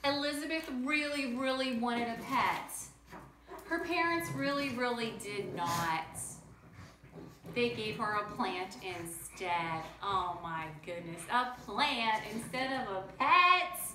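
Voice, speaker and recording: A woman's voice: a little high, slow, roomy sound, background noise. A woman reading a children's picture book aloud at home, drawing out words and stopping to talk to young listeners. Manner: animated, draws out words, talks to kids directly. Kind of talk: children's read-aloud with asides. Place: home room with pets nearby.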